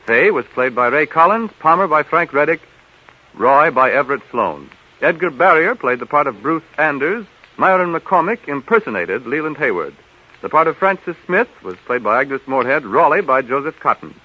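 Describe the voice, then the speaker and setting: A man's voice: on the high side, very animated, moderate pace, breathy edge, dull audio. An American man as a 1930s radio announcer, reading scripted credits and sponsor messages. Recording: band-limited 1930s radio recording with music.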